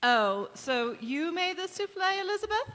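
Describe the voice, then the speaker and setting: A woman's voice: very high-pitched, very animated, moderate pace, fairly bright tone, exaggerated voice. A woman playing a doting, condescending older mother-in-law in 1940s radio comedy, cooing and mock-polite. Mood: sweetly catty. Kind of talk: 1940s radio comedy acting, staged revival. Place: community theatre stage in a church. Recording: echoey hall, long reverb.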